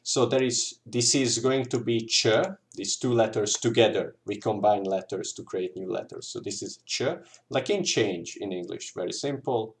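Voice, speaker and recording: A man's voice: on the low side, moderate pace, creaky, hardly any pauses. A man with a Hungarian accent, a native Hungarian speaker, teaching an informal language lesson in a hesitant, explanatory way with repeated examples. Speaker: language tutor.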